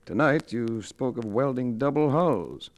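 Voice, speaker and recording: A man's voice: mid-range voice, lively intonation, unhurried, close to an everyday voice. An American man acting a tough, terse, cool-headed private investigator in a 1950s radio detective drama. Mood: accusing. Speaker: lead actor and narrator. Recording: vintage 1950s radio recording.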